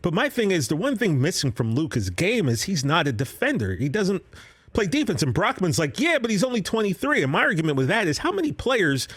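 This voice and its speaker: A man co-hosting a sports podcast, telling animated stories in casual banter. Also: high voice, a little fast, husky. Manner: animated storyteller, trash-talking banter. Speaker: podcast co-host.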